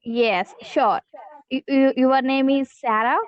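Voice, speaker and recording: A woman from South India with a South Indian accent, a housewife learning English, speaking in halting simple sentences on a video call. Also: high-pitched, fairly even intonation, clean tone. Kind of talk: hesitant learner conversation. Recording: dry, dull lo-fi call audio.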